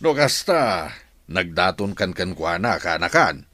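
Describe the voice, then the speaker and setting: A man's voice: sits low, sing-song, unhurried, bright, forceful tone, dry recording, full character voice. A man playing a jovial uncle who teases younger folk about love in a radio drama. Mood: teasing, curious. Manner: teasing, chuckling. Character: jovial, teasing uncle prodding lovesick young men.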